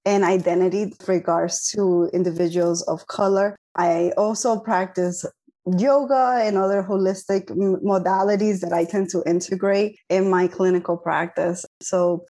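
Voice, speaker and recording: Mellow-voiced woman explaining thoughtfully. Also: on the low side, steady tone, normal speed. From Dominican Republic. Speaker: guest clinical psychologist. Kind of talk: interview answers.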